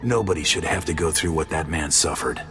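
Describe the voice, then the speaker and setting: An actor playing a stern alien bounty hunter in a video game, honorable but menacing, talking in terse, dry lines. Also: male voice, rumbling low, brisk, fairly bright tone, long reverb tail, faint music behind. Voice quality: gravelly, husky.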